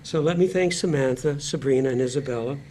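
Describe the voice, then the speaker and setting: A man hosting a panel discussion, speaking in an earnest, deliberate way and repeating phrases for emphasis. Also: mid-range voice, mellow, slight background noise. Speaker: host and discussion moderator.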